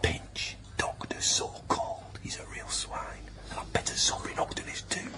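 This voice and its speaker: An actor playing an earnest, defiant young working-class man with a Northern English accent in a period radio drama, often dropping into breathy whispers. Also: normal speed, sharp tone, close to an everyday voice. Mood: anxious, hushed.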